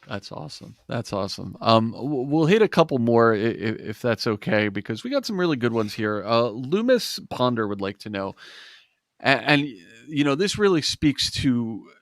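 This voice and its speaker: A man's voice: mid-range voice, big pitch swings, moderate pace, no echo, studio quality. A man hosting an interview, friendly, reading out listener questions. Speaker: interview host. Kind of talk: casual interview.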